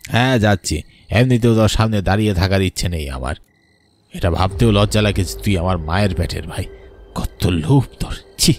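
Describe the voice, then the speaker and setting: A man's voice: on the low side, a little fast, a little hiss or hum, lightly acted. A man voicing a grave, vengeful ghost of a wronged elder brother, scolding in a horror cartoon. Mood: reproachful. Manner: scolding, reproachful. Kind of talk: Bengali horror cartoon acting.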